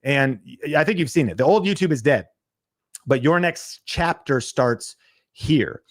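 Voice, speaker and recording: A podcast host giving an energetic sales pitch on a livestream, talking straight to his viewers. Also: a little slow, dry recording, studio quality.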